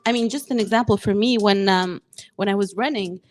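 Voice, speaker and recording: A young woman from Morocco, a guest chatting casually in an interview, talking in quick restarts with many fillers. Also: mid-range voice. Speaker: guest, former municipal candidate.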